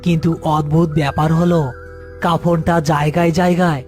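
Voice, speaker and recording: A man's voice: on the high side, little pitch movement, a little fast, a little mellow, faint music behind. A man narrating a scripted horror story as storyteller.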